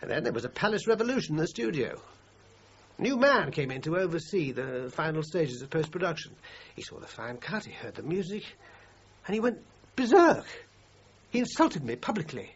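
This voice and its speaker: A man's voice: on the high side, very animated, brisk. An actor playing a defensive, down-on-his-luck film composer in a radio crime drama, hesitant and prickly in his self-justification. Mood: agitated. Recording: muffled, noisy radio drama recording.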